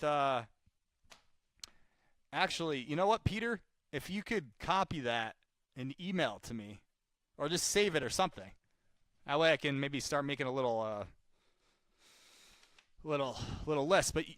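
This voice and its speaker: A man's voice: mid-range voice, a bit bright, no room sound. A man with a mid-range voice in a dry recording speaks like a sales trainer doing live outreach calls. He is informal and chatty, and he likes to repeat a point to drive it home. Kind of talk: live cold-call demonstration with coaching talk.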